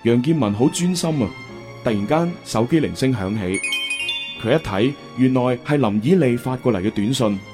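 A man with a Cantonese accent, hosting and narrating a radio drama in an expressive, conversational way. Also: medium pitch, normal speed. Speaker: radio host and storyteller. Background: music.

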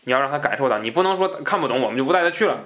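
A male podcast host, talking at a brisk clip. His delivery is casual and explanatory, like a relaxed book discussion, and he tends to restate phrases to make his point. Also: mid-range voice. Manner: conversational, explanatory, repeats himself for emphasis.

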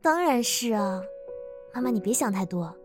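An actress playing a gentle, dutiful daughter of twenty-five in an audio drama, earnest and resigned. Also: female voice, a little high, expressive, normal speed, over music, lightly acted. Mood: resigned, wistful. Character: dutiful, resigned 25-year-old daughter, designer. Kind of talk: Chinese audio drama acting.

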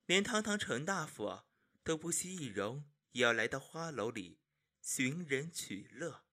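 A man playing a sharp-tongued, articulate confidant of an imperial official in a Chinese costume audio drama. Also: fairly high pitch, big pitch swings, unhurried, full character voice. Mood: wry teasing. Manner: wry, articulate, needling.

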